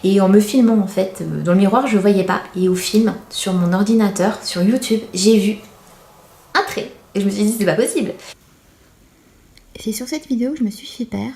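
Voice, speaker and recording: A woman in her thirties talks to her viewers like a chatty beauty vlogger. Her voice is soft-edged and mellow, at a middling pitch. She is confiding and a little self-deprecating, and the room around her adds a clear echo. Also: normal speed. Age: 30s. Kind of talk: casual product review to camera. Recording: roomy, echoey indoor sound.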